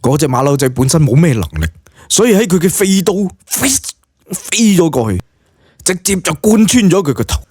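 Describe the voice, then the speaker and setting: A man's voice: a little high, big pitch swings, normal speed, a little mellow, slightly rough, no echo, studio quality. A man with a Cantonese accent narrating an audiobook story in a dramatic, colloquial storytelling style.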